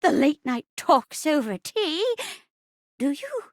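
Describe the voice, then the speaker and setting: A woman voicing a theatrical old woman who is a living chair in a video game, with stagey gasps and hushed asides. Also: big pitch swings, slow, no echo, clean studio sound, full character voice. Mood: tender, amazed. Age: older. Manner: stagey, gasping, swooping from hushed asides to high cries. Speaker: datable game character. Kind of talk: video game voice acting, murder-mystery melodrama.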